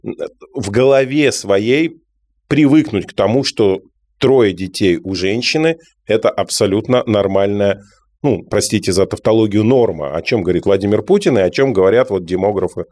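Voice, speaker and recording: A male co-host in a discussion, speaking in a halting, measured way as he picks his words carefully. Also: lowish voice, a little mellow. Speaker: co-host journalist. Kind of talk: discussion talk.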